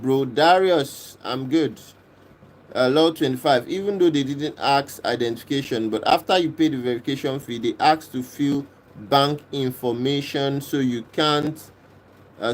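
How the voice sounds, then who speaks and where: A man from Kenya hosting a livestream, reading and answering viewers' comments in a casual, unhurried way and often repeating himself. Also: mid-range voice, slight background noise. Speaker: livestream host reading chat. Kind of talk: casual live chat.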